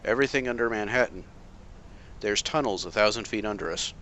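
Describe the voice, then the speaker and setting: An actor playing a calm, analytical masked vigilante detective with a dry, measured delivery. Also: male voice, fairly low pitch, slight background noise, natural voice. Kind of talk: amateur motion comic voice acting.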